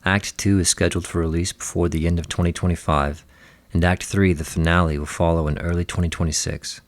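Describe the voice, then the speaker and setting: A man's voice: low voice, flat delivery, fairly quick, no echo. A man giving a scripted, courteous thank-you to listeners as the creator of an audio play. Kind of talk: scripted outro address to listeners.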